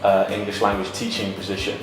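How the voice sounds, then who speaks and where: A Welsh man with a lowish voice talks in a relaxed way and fills his gaps with uhs. He sits away from the mic in a very echoey room, with music playing under him. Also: brisk, recorded from a distance. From Cardiff, Wales. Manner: relaxed, hesitant with many uhs. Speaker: English teacher. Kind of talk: recruitment video interview.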